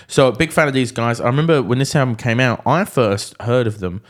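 A man's voice: mid-range voice, lively intonation, fairly quick. A man in his thirties co-hosting a casual podcast, rambling and reminiscing in a chatty way. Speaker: podcast co-host. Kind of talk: casual chat.

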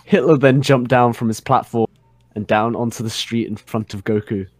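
A man with a medium-pitched voice narrates comic fan fiction as if it were serious drama. The sound is dry and close, and his storytelling is mock-solemn. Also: steady tone, normal speed, dry recording.

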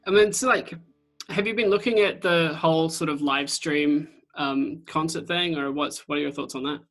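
A man with a New Zealand accent hosting a podcast interview over a video call, chatty and excitable, swearing casually. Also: a little high, moderate pace, faint music behind. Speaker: podcast host.

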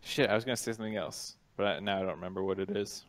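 A man with a slightly low voice talks as a panelist on a gaming show. His delivery is casual and analytical, full of slang and easy profanity. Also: fairly even intonation, very fast. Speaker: panelist on an esports talk show.

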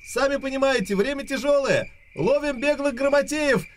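Wheedling, high-voiced rough soldier. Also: male voice, moderate pace, slight background noise. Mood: servile apology. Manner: ingratiating, hesitant and wheedling. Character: fawning, cowed rough stormtrooper apologizing to a nobleman. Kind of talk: radio play acting.

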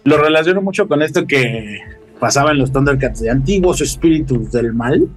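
A Mexican man chatting casually as a podcast guest, telling things in an animated, slangy way with swearing. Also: medium pitch, normal speed, soft background music.

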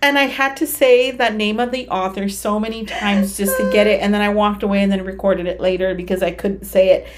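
A woman's voice: mid-range voice, brisk, hardly any pauses. A woman co-hosting a podcast in casual chat, with a slight lisp, telling stories animatedly and laughing as she talks. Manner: animated storyteller, laughs while talking. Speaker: podcast co-host.

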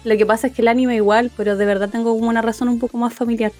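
A woman in her twenties talks quickly and candidly, her voice slightly high. Faint music runs behind her. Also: little pitch movement, a little mellow. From Chile. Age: 20s. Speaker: language teacher. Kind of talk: casual group chat.

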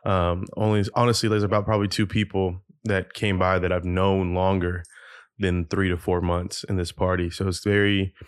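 Young man from Peru, low smooth voice, guest telling his life story in a candid, halting way. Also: flat delivery, no echo, clean studio sound. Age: 20s. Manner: open, reflective, many ums and restarts. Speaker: podcast guest. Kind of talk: casual interview storytelling. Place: guest's home.

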